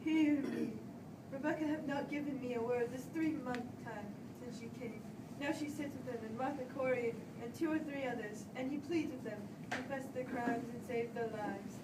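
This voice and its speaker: A woman's voice: sits high, normal speed, natural voice. A woman acting an anxious, distraught Puritan minister in an amateur play, pleading and deferential with a stammer. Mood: urgent, deferential. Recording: echoey room, far mic, hissy noise.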